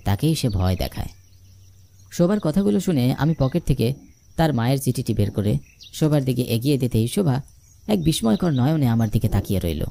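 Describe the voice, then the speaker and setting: A man's voice: mid-range voice, creaky, mellow. A man narrating a scripted first-person ghost story in a dramatic, suspenseful storytelling manner.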